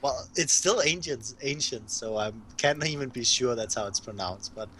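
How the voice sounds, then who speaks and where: A man from Denmark with a Danish accent, a game designer chatting casually and haltingly while playing a board game. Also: medium pitch, lively intonation, bright, forceful tone, husky, low-quality recording.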